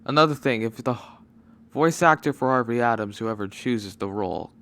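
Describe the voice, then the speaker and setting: A man giving a casual, unscripted channel announcement as a video creator, halting and rambling as he goes, on a microphone with no pop filter. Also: mid-range voice, a bit bright. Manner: rambling, halting, often losing his train of thought. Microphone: microphone without pop filter.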